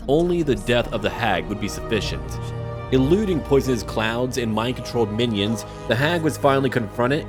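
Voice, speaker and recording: A man hosting a roleplay gameplay video, recapping and musing aloud in a measured way as he plays. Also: mid-range voice. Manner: measured, musing, talks to himself. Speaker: let's play host. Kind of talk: narrated roleplay gameplay commentary.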